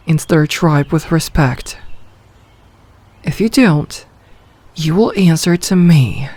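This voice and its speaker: Woman voicing a female werewolf pack leader, possessive, commanding, slow measured delivery, dry close ASMR roleplay sound. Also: deep voice, darkish tone, no echo.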